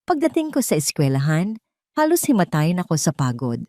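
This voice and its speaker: A woman's voice: deep voice, wildly swooping pitch, moderate pace, warm tone, no room sound, clean studio sound. A woman with a Filipino accent narrating a dramatic first-person story in an emotive storytelling manner.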